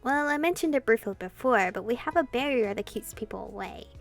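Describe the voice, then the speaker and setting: A woman hosting a gameplay channel, reading dialogue aloud and commenting in an expressive way, free with swearing. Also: on the high side, brisk. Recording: game music behind.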